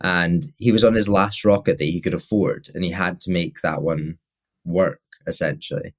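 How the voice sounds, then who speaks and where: Low-pitched man as podcast co-host, loose, rambling and self-correcting. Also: fairly even intonation, moderate pace. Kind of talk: casual chat.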